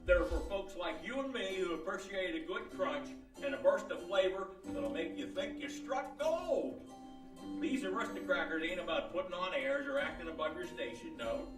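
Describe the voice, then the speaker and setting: A high-voiced country huckster for an old-time radio commercial, full of cantankerous rural slang. The sound is roomy and a little distant, with loud string music behind the pitch. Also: male voice, lively intonation, normal speed. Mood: folksy, boastful. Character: folksy country huckster pitching snack crackers. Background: string instrument music.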